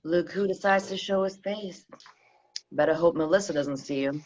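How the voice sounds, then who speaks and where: A woman's voice: fairly low pitch, normal speed, darkish tone, natural voice. Woman reading a teasing seductive waitress, sly lines, dull lo-fi sound, little hiss. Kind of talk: table read of a TV pilot. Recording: dull recording with faint noise.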